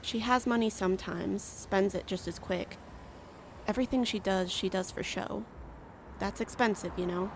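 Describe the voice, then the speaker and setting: A sullen, defeated daughter , played by a woman, speaks with heavy creak at a medium pitch. Her lines are terse and resigned, as radio drama, with faint traffic noise behind. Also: normal speed, natural voice. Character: sullen, defeated youngest daughter of troubled family. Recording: faint outdoor roadside noise.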